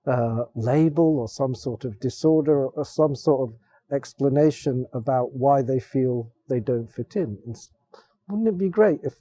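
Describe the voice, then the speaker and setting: A man's voice: mid-range voice, warm tone, breathy edge. A man on a discussion panel, explaining ideas thoughtfully with frequent restarts. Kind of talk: roundtable discussion. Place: theater stage panel.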